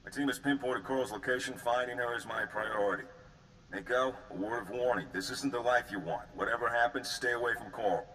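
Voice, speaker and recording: Guy acting a brisk spy agent in a video game, talks quick, formal and urgent, noisy ambience behind him. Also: medium pitch, bright, forceful tone, natural voice. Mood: urgent, warning. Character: brisk, businesslike male intelligence agent giving warnings.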